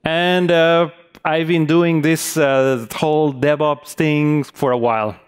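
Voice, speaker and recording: A man's voice: a little high, steady tone, takes it slowly, clean tone. A man in his thirties from Mexico with a Mexican Spanish accent, giving a conference talk on a large stage with many hesitant fillers. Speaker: conference speaker.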